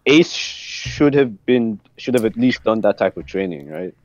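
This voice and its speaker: Low-voiced man, muffled and dry. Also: animated, unhurried, no echo. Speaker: participant in an anime fan group discussion. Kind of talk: casual debate, slangy and profane. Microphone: online voice chat. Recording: muffled lo-fi voice chat.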